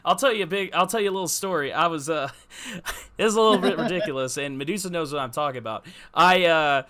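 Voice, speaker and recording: A man's voice: fairly high pitch, fast talker, fairly bright tone. A man co-hosting a casual live football talk show, speaking in an earnest, emphatic and informal way. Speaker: podcast co-host.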